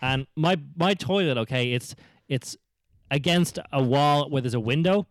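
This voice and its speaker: A man's voice: mid-range voice, a little slow, no echo, clean studio sound. A young man from Ireland with a Dublin accent tinged by American TV, co-hosting a podcast in a chatty, giggly way. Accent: Dublin Irish with an American tinge. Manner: chatty, giggly, animated storyteller. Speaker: podcast co-host. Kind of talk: casual podcast chat.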